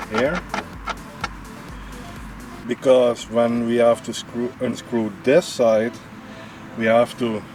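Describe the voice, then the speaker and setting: A man with a Dutch accent hosting a casual car repair walkthrough, chatting to a helper and calling viewers guys. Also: medium pitch. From Netherlands. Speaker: car repair channel host. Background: background music.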